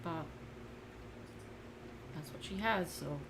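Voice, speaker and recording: A woman with a somewhat low voice talks casually as she draws on a livestream. She muses aloud in loose, unscripted fragments. Clear background noise runs through the recording. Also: slow. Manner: musing, thinking aloud in fragments. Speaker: artist livestreaming a drawing session. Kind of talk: casual unscripted drawing commentary.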